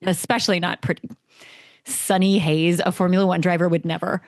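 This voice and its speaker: A woman with a slightly low voice chats casually and gives her opinions bluntly. She leans on her points with emphasis and a wry edge, like a sports podcast co-host. Her sound is close and very dry. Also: little pitch movement, fairly quick, a little mellow, dry recording. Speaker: podcast co-host discussing motorsport.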